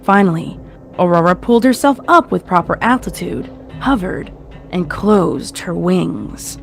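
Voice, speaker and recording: A woman's voice: lowish voice, animated, unhurried, gravelly. A woman reading a scripted story as its narrator, in a dramatic storytelling style. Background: faint music.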